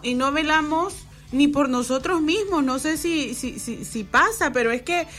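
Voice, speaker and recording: A woman's voice: high-pitched, a little slow, a bit bright. Woman host, affirming. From El Salvador. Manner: reflective, frequent affirming backchannels. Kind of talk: conversational interview.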